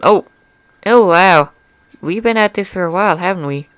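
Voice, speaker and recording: A man's voice: sits high, moderate pace, fairly bright tone, clean tone, dry recording, voice pushed up. A man voicing a friendly, studious female pony in a storybook reading, in a polite, chatty manner. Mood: mildly surprised.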